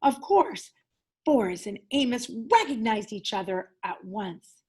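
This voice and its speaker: A woman's voice: mid-range voice, sing-song, unhurried, a little mellow, breathy edge. A woman teacher reading a children's story aloud expressively, leaning dramatically on key words.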